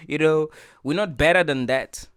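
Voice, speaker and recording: A man's voice: on the high side, unhurried, a bit bright, smooth, dry recording, studio quality. A man hosting a reaction video, talking in an earnest, preachy way and repeating his points with lots of filler words. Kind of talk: casual opinion monologue.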